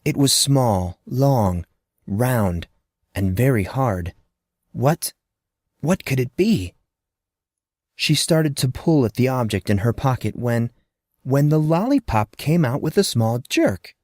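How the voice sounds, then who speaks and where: A man reads fantasy fiction as a dramatic narrator. His mid-pitched voice moves in lively swings, with suspenseful short phrases and a close, dry sound. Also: takes it slowly, pauses often, dry recording.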